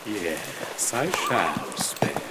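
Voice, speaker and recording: A man's voice: rumbling low, big pitch swings, drawn-out words, heavy background noise, full character voice. A man voicing a menacing, gloating demon for a video game, with grandiose, archaic and threatening speech. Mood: gloating menace. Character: menacing, gloating, pompous demon freed after aeons. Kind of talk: theatrical video game voice acting.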